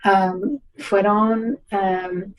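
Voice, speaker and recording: A woman's voice: mid-range voice, steady tone, extremely slow, poor audio. A woman in her forties from New Jersey, Portuguese-American accent, answering interview questions with hesitant fillers and restarts. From United States (New Jersey). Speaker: guest, pharma executive.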